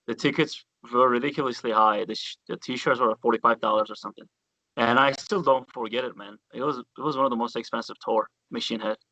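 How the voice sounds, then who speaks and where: A man with a Turkish accent, a bassist guest telling stories in a relaxed interview with loose grammar and casual swearing. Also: brisk, no room sound, rough recording. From Turkey.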